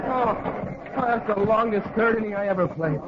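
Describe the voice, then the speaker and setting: An actor playing an eager, hopeful young college baseball player in a 1940s radio drama. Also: male voice, sits high, normal speed, natural voice. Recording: muffled, very noisy old radio.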